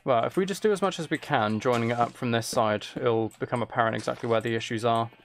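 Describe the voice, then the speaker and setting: Gravelly man thinking aloud over a game. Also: medium pitch, fast, slight background noise. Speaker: streamer playing a building game. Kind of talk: casual gameplay commentary, chatting with viewers.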